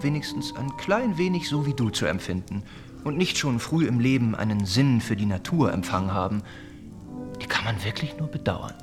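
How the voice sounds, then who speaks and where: Man as earnest young Regency gentleman, gentle and smitten, very animated intonation, radio play with music behind. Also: mid-range voice, lightly acted. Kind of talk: German radio drama acting.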